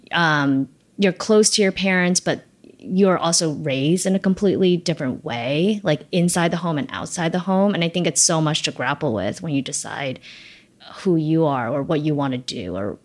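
Guest author, young woman, low voice, chatty and thoughtful. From born in China, raised in the United States. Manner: casual, many likes and ums, reflective. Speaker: debut novelist, guest. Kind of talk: relaxed interview answers.

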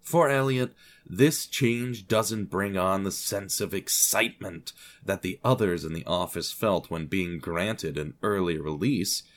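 A man reading scripted story narration with a dry, wry, understated manner. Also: on the low side.

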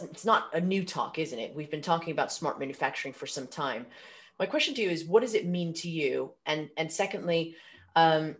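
Low-voiced woman hosting a podcast interview. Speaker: podcast host.

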